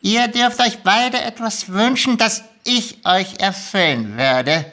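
An actor doing a grumpy magical kobold from a storybook. The voice is sharp and forceful, and the pitch swings up and down. He haggles with sly nonchalance and is quick to get irritated. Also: lively intonation. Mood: sly, lecturing. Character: grumpy, sly male kobold dealmaker, ancient magical creature. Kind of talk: lively audiobook character reading.

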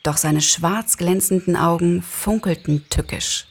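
A woman narrating a story in a lively, dramatized reading. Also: lowish voice, unhurried, creaky. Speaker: narrator and reader.

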